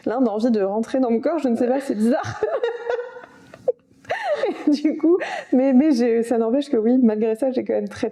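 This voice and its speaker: A woman's voice: mid-range voice, dark tone. A woman in her thirties, a yoga teacher, talking casually as a podcast guest, hesitant with many fillers and frequent laughs.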